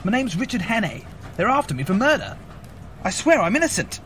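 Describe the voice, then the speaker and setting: Guy doing well mannered gent on the run, radio comedy, very animated, noisy recording. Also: high voice, fairly quick. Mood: desperate. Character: suave, polite, harried English gentleman on the run. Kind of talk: comic homemade radio play acting. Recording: clearly noisy homemade recording.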